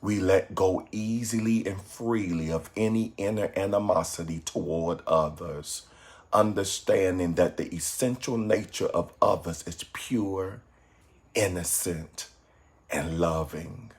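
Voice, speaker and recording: A man leading a guided meditation from a script in a calm, measured, soothing way with pauses. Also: deep voice.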